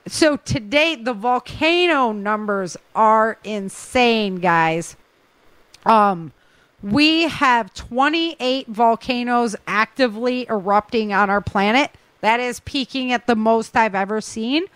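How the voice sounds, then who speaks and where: A woman with a slightly high voice hosts a podcast in an informal way. She runs through news as casual chat, addressing her listeners directly, and the sound is fairly dry and close. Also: slow pace, fairly bright tone, smooth, dry recording. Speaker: podcast host.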